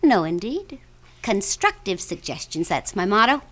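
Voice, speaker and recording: A woman's voice: medium pitch, big pitch swings, unhurried, a bit bright. An American woman acting in a radio drama as a loving, spirited wife, affectionate, playfully mock-formal and caring. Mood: bantering, insistent. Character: loving, spirited wife, bantering yet tender and worried.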